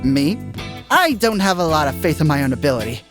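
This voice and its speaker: Man acting a lively, slangy shopkeeper. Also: fairly high pitch, fairly quick. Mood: breezy. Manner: slangy, casual. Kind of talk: dramatic dub of a manga story. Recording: music under the voice.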